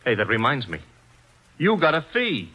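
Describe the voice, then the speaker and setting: A man's voice: medium pitch, huge pitch swings, normal speed, sharp tone, slightly rough, heavily acted, rough recording. An actor playing a young, wisecracking male assistant detective who talks in a breezy, slangy way, in 1940s radio acting. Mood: wry, grumbling.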